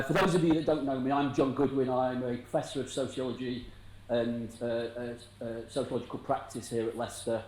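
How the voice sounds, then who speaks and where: Loud middle-aged man, mellow tone. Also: mid-range voice, husky, rough recording. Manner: loud voice, self-aware and chatty. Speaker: professor introducing a lecture. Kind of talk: welcoming remarks at a public lecture. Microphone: mic'd up.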